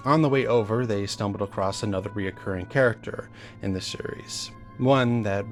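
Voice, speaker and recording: A man narrating a first-person horror story in a conversational, hesitant, reminiscing way. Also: lowish voice. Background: music under the voice.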